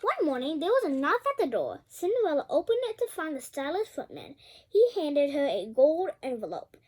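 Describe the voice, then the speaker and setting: A female reader with a high voice tells a fairy tale out loud. Her intonation swings widely and expressively. She drops in chatty asides and trips over a word now and then. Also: moderate pace. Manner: expressive, stumbles over words. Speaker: storybook reader.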